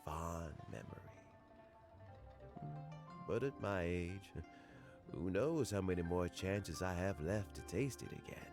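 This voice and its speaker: An older man voicing a wise elderly fisherman in a video game, speaking in a measured, earnest way over game music. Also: low voice. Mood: nostalgic. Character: wise elderly fisherman and official, calm and fair. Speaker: elder who appoints an assessment officer. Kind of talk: game voice acting.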